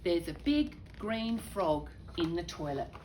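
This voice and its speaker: Slow storytime lady, super up and down voice, exclaiming little comments to the kids. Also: on the low side, slight background noise. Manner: expressive, exclaiming, chatty asides to young listeners. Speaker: children's storybook reader. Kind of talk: animated read-aloud storytelling with asides.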